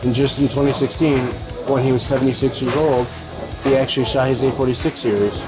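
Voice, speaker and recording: A young man answers interview questions in a casual way. His pitch sits in the middle for a man. Loud music plays behind him throughout. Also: fairly even intonation, a little fast, poor audio. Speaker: bowler being interviewed. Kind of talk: casual interview answers, some reading aloud. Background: music, in a bowling alley.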